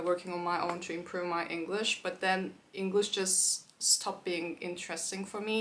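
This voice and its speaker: Turkish girl, early twenties, chatting to her vlog camera, slightly low voice, lots of "like". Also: a little hiss or hum. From Turkey. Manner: chatty, quick asides, frequent "like" fillers. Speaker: YouTuber. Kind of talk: casual talk to camera.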